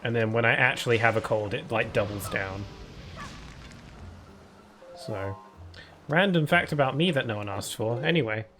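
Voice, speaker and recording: A man chats casually through a live game stream, rambling with frequent fillers and self-corrections. His voice sits in an ordinary male pitch and carries what he calls a permanent cold voice. Faint game music plays quietly behind him. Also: normal speed, pauses often. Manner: rambling, loose with many fillers and restarts; answers viewer chat aloud. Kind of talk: casual live-stream chat while playing.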